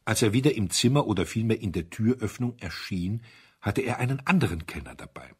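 A man narrating a literary story from a script in a measured, expressive way, with wry formality. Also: lowish voice, breathy edge. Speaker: audiobook narrator.

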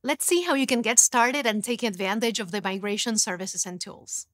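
A woman in her thirties presenting a scripted technical explainer in a studio, in a clear, even, instructive way. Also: medium pitch, normal speed, no echo. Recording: dry studio sound.

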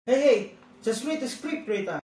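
A woman's voice: fairly high pitch, lively intonation, normal speed, mellow, close to an everyday voice. A woman playing an exasperated, bossy film director in an amateur dramatic reading, curt and commanding. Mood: firm. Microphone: video call. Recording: roomy video-call sound.

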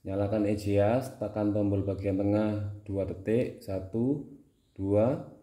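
Low, mellow Javanese-accented Indonesian man. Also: fairly even intonation, slow. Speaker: product tutorial host. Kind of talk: casual how-to demonstration. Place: indoors at home, small room.

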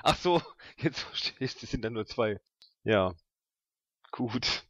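A man's voice: mid-range voice, expressive, slow pace, fairly bright tone, dry recording, highs cut off. A man with a mid-range voice is recorded very dry, with little room sound. He chats casually as a podcast host and player in a role-playing game, leaning into crude, jokey banter.

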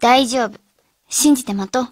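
Woman playing an earnest, husky high school girl. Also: moderate pace, dry recording, natural voice. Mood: resolute. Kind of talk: radio drama.

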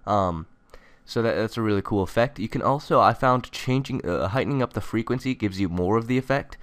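A young man narrating a casual software tutorial in an informal, conversational way. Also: lowish voice, fairly quick, no echo. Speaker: tutorial host.

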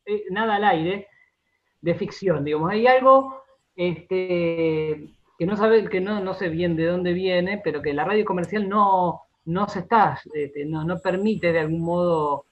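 An Argentine man being interviewed on radio, explaining in a halting, conversational way and often repeating his words. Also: high-pitched, highs cut off. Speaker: interview guest, radio drama director and writer.

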